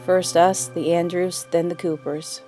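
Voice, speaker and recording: A woman playing a grave mother who tells an old family story in a measured, wistful way in an audio drama. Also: lowish voice, level tone, mellow, faint music behind, natural voice. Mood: grave, uneasy.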